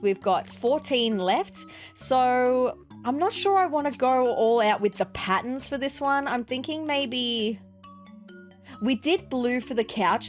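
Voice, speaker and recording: A woman with an Australian accent, sounding nasal from allergies, chatting casually as she plays and builds in a video game. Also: a little high. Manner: chatty, upbeat, thinking aloud while building. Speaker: let's play gaming host. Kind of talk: casual gameplay commentary.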